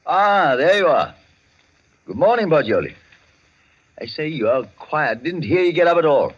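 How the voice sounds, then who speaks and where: An actor playing a hearty, complacent colonial banker with a blustery, clubby manner in 1940s radio drama style. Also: male voice, on the high side, big pitch swings, normal speed, slightly rough. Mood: chatty, hearty. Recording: muffled old radio recording.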